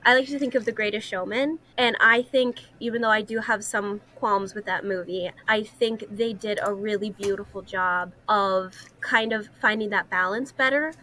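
A young woman with a bright, clear-cut edge to her voice talks casually with her co-hosts. She is earnest and opinionated, at a middling pitch. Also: steady tone, normal speed, hardly any pauses. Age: young adult. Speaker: podcast co-host, student singer and actress. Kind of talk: casual discussion.

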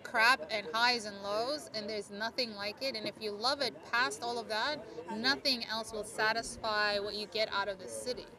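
A woman's voice: fairly high pitch, fairly even intonation, normal speed, few pauses. A woman from Turkey co-hosting a podcast, chatting casually outdoors at a city restaurant and filling her talk with "like.". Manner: chatty, lots of "like" fillers. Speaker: podcast co-host. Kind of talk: casual chat. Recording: busy street crowd noise behind. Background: city street crowd and traffic.

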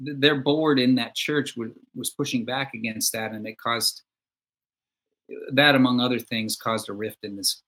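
A man's voice: medium pitch, fairly even intonation, normal speed, a bit bright. A man being interviewed as a songwriter guest, talking in a reflective, conversational way. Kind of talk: casual conversation.

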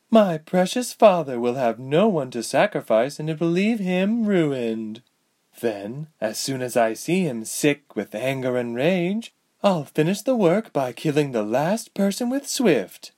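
Dude doing cold bitter sorceress lady, slow and cool, a bit higher than him. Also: a little mellow. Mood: menacing. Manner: cool and indifferent, turning bitter. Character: cold, bitter, vengeful sorceress, a villain's daughter.

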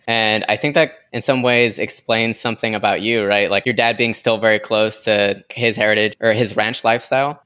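A young man in his twenties hosting a podcast, chatting in a relaxed way and thinking aloud as he talks. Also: mid-range voice, smooth, no echo. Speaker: podcast host. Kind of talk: casual conversation.

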